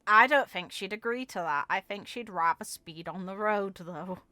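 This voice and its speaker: A woman's voice: medium pitch, moderate pace, pushed and bright, dry recording. A woman giving casual reaction commentary on a game, musing aloud with short emphatic asides. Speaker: content creator reacting to game voicelines.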